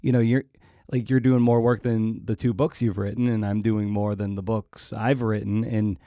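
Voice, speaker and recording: A man hosting a conversational interview, speaking in a reflective, halting and wordy way. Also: on the low side, level tone, dark tone, no room sound, studio quality. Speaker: podcast host, writer.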